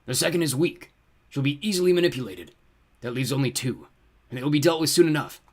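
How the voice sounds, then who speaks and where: A man voicing a fiery, menacing demon lord with a booming, grandiose delivery for a story. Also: fast talker. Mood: menacing, gloating. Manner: grandiose, imperious.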